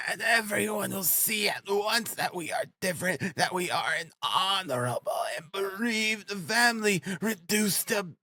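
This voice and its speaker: A man voicing a frantic, proud, sickly mother in an audiobook, shouting, pleading and scolding in a shrill voice. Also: no room sound, full character voice. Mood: desperate, pleading. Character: frantic proud consumptive mother, ragged and hysterical.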